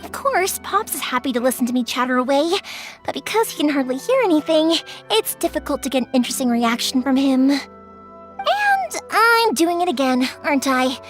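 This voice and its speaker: A woman's voice: high-pitched, sing-song, normal speed. A woman voicing a cheerful young fireworks maker who chatters on in a bubbly, rambling way. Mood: chatty. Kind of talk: video game voice acting. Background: soft game music.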